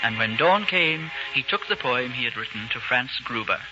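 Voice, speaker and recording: A man narrating a 1940s radio drama in a measured, solemn, dramatic storytelling style. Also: mid-range voice, animated. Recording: muffled 1940s radio recording with music. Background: loud music.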